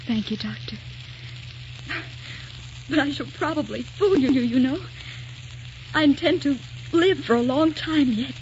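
An actress playing a tender, devoted young wife in a 1940s radio drama, earnest and romantic. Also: female voice, high voice, unhurried, pauses often, darkish tone, a little hiss or hum. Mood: brave, subdued. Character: tender, devoted, frail twenty-three-year-old wife of a writer. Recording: dull vintage 1940s radio recording, music behind.

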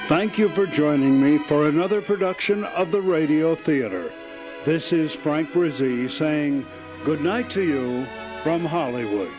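A man's voice: a little high, lively intonation, unhurried, over music. A man hosting a radio show, interviewing a guest in a polite, presenterly way.

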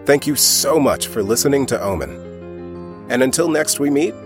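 A man's voice: medium pitch, animated, normal speed. A man announcer reading scripted credits at the end of an audio drama podcast. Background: music.

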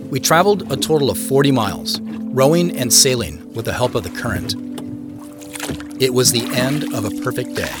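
A man's voice: mid-range voice, expressive, moderate pace, music in the background, lightly acted. An actor playing a solitary, private man who narrates his own story in an understated, conversational, matter-of-fact way. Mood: nostalgic, measured storytelling. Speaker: narrator of an audio drama. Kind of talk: first-person scripted narration.

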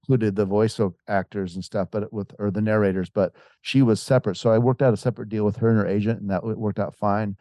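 A man's voice: lowish voice, fairly even intonation, fast talker, few pauses, mellow, dry recording. A man, an author as interview guest, tells a long story in casual talk. He rambles with many restarts and acts out quoted voices.

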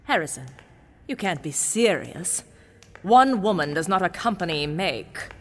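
A woman's voice: lowish voice, sing-song, measured pace, big-room sound. A woman playing a skeptical, haughty corporate board member in an audio drama, incredulous.

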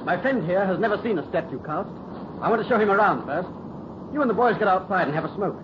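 Scheming gentleman sculptor, smooth, mocking, lightly high and animated, old radio drama. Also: fast. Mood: falsely genial. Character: suave, bored, cruelly scheming gentleman sculptor. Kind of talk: 1930s radio acting. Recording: muffled, hissy 1930s radio recording.

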